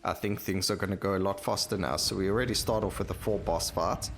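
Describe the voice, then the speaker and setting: A man's voice: low-pitched, steady tone, quick pace, a bit bright. A man giving casual gameplay commentary, thinking aloud and rambling as he plays, with game music behind him. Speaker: gaming video host.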